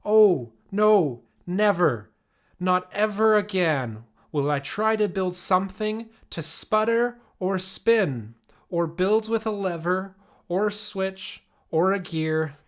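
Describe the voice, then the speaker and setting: A man plays a young girl inventor from a picture book. The voice is earnest and emphatic, lifted in pitch, and easily discouraged. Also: lively intonation, slow pace. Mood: dismayed.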